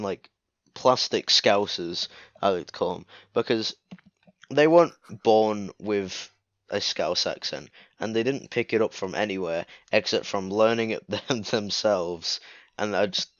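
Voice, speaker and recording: A young man from northwest England with a low voice talks casually as a podcast guest. He rambles in a relaxed way and fills his sentences with "like". The call audio is dull and dry. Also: a little slow, dry recording. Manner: relaxed, rambling, heavy use of "like". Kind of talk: casual chat.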